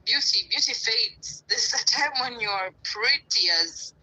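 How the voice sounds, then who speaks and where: A woman from Uganda speaking earnestly as a guest on a live stream, swooping up in pitch for emphasis. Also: measured pace, pushed and bright, husky, low-quality recording. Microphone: live stream call.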